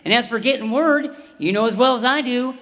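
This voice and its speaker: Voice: medium pitch, a little fast, fairly bright tone, big-room sound, natural voice. An actor playing a plucky, earnest young frontier son in a 1930s radio western, with folksy, exclamatory talk. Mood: reassuring.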